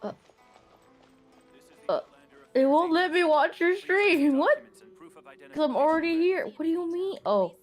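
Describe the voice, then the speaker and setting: Female game streamer: lively, swooping delivery, giggly casual banter, faint music behind. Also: extremely high pitch, unhurried, long pauses. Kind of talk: casual chat while gaming.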